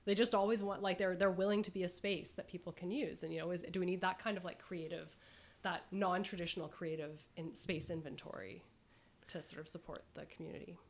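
A woman's voice: on the low side, steady tone, a little fast. A woman hosting a panel, asking long, exploratory questions in a conversational way with many restarts. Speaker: host, moderator. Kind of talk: conversational interviewing.

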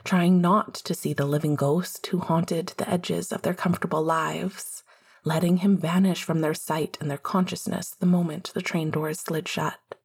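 A woman narrates fiction in a lowish voice with a husky edge. She reads scripted prose as an audiobook storyteller. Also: fairly even intonation, moderate pace.

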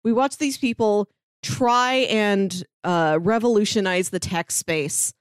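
A woman's voice: medium pitch, slow, clear, resonant tone, dry recording. Woman cohost recapping a tv show, dry close mic, laughs a bit as she talks. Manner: summarizes plots with light laughs. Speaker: podcast co-host. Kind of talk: casual review chat.

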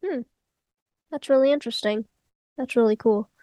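Nasal host with a head cold. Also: female voice, on the high side, normal speed, mellow, no room sound. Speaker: podcast host. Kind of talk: interview hosting.

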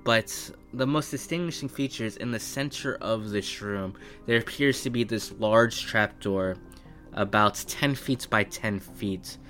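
A man runs a tabletop fantasy game, describing scenes in a slow, measured voice. His pitch sits a little low and his phrasing is halting as he improvises. Quiet music runs underneath. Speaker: game master. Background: faint background music.

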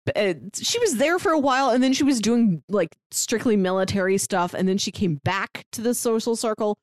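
Casual female co-host for recaps, expressive and animated storyteller, very little room sound. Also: mid-range voice, brisk, dry recording, clean studio sound. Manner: animated storytelling that acts out quotes. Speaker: podcast co-host. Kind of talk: casual chat recapping a manga plot.

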